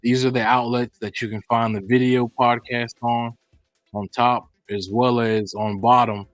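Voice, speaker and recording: A man hosting a video podcast, with a mid-pitched voice recorded dry and close. He reads announcements in an upbeat, announcer-like way, then drops into easy, slangy chat, with faint music behind him. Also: flat delivery, a little slow, no echo. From United States. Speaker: podcast host.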